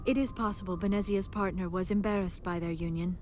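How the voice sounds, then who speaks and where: A woman playing a gentle, scholarly female alien in a video game, speaking in formal, measured and precise phrases. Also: mid-range voice, steady tone, soft background music, natural voice. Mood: earnest, reflective. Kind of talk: video game dialogue acting.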